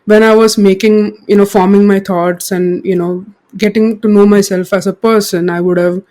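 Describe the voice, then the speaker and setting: A woman talks as a podcast guest. She is reflective and unhurried, often pausing on fillers and restarting her sentences. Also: mid-range voice, a little mellow. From India. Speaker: guest author. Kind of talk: podcast interview.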